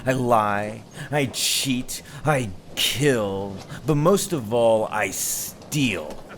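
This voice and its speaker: A man playing a ruthless, mocking pirate captain in a theatrical, drawn-out ASMR roleplay performance. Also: medium pitch, animated, a bit bright, a little hiss or hum. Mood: menacing. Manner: theatrical, taunting, drawn-out delivery. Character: ruthless male pirate captain, mocking, menacing, mock-gracious. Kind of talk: scripted ASMR roleplay acting.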